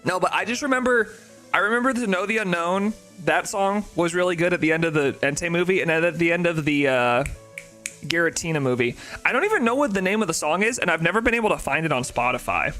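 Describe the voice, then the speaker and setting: A man with a nasal voice chatting casually on a live stream, rambling and excitable, with faint music behind him. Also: high voice, fast. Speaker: shiny-hunting live streamer.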